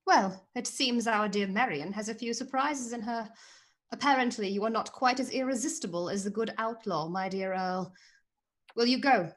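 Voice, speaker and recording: A woman's voice: fairly high pitch, normal speed, natural voice. A woman acting a haughty, sly princess in a radio play, arch and imperious in her teasing.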